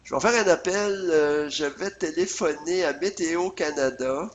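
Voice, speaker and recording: A man's voice: on the high side, moderate pace, a little hiss or hum. A man with a Quebec French accent narrating a casual screencast tutorial step by step, with some hesitation, on a USB computer microphone. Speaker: software tutorial presenter.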